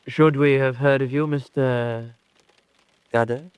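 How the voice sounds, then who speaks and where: An actor playing a middle-aged father and host in an old-time radio drama, formal, hospitable and quietly probing. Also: mid-range voice, steady tone, slow pace, smooth, no echo, close to an everyday voice. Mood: genial, guarded.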